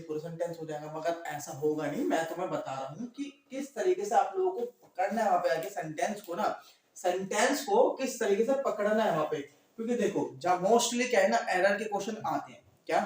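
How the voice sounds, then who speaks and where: A male teacher with a sore throat drills his class, asking each question twice and answering it himself. His voice sits high for a man. He sounds distant from the mic, in a room full of echo. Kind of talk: classroom grammar lesson.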